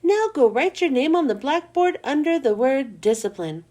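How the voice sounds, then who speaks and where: Woman playing storybook schoolteacher, female, high and very expressive, firm, brisk, questioning. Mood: stern.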